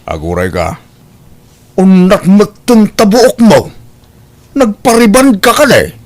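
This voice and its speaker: A man's voice: high-pitched, wildly swooping pitch, slow, full character voice. A man playing a concerned father who questions and admonishes his grown daughter, with an Ilocano accent, in a radio-style comedy drama. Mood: scolding. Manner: questioning, lecturing.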